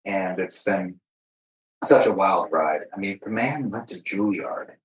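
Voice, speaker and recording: A man with a distinctly low voice hosts a conversational podcast. He speaks in a reflective, halting way, often doubling back on words. The room adds a clear echo around his voice. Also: animated. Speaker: podcast host.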